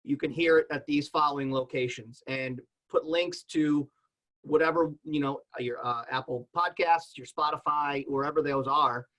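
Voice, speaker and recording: A man's voice: fairly high pitch, fairly even intonation, normal speed, fairly bright tone, rough recording. A man speaking casually as a panelist and podcaster, explaining informally with examples. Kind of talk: casual spoken advice.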